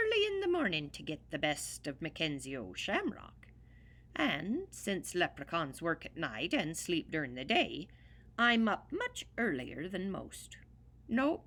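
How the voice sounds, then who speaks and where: A woman voicing a grumpy little male leprechaun shoemaker with an Irish accent, narrating a storybook in a lively sing-song way. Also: fairly low pitch, exaggerated voice. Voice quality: brogue-y dialect, very lively sing-song delivery. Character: grumpy old male leprechaun shoemaker, tiny, hoards gold.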